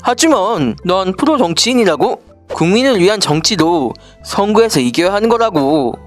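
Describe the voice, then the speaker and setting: A woman's voice: mid-range voice, animated, moderate pace, faint music behind, lightly acted. A woman playing a naive, scheming would-be politician sidekick in a storybook political satire, casual, exclamatory and wheedling. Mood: self-justifying. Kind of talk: scripted political satire dialogue, storybook parody.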